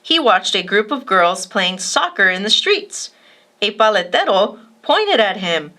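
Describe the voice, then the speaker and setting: A woman narrating a children's storybook as host, expressive and speaking directly to young listeners. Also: medium pitch, measured pace. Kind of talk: animated read-aloud narration for children.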